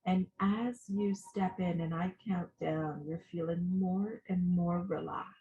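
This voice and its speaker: A woman coach leading a guided visualization meditation, giving soothing, gentle instructions with long pauses. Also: on the low side, fairly even intonation, lo-fi sound.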